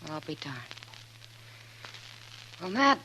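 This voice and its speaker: Wry saloon woman, bright voice. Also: sits low, sing-song, slow, exaggerated voice. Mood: wry, curious. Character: easygoing, wry frontier saloon woman, friendly. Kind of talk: 1950s radio western acting. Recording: noisy old 1950s radio recording.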